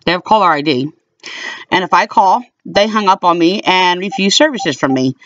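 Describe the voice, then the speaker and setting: A woman's voice: on the low side, lively intonation, normal speed, fairly bright tone, distant mic. An American woman speaks in an aggrieved, complaining way, as a vlogger talking into a handheld phone. She sounds set back from the mic, with dull sound and very little room echo. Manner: indignant, complaining, with sighs. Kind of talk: casual aggrieved monologue to camera. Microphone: phone held in hand.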